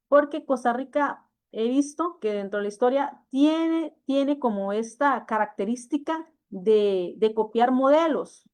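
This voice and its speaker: A woman from El Salvador, an educator moderating a panel discussion, speaking in a measured way with frequent hesitations and fillers. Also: fairly high pitch, darkish tone.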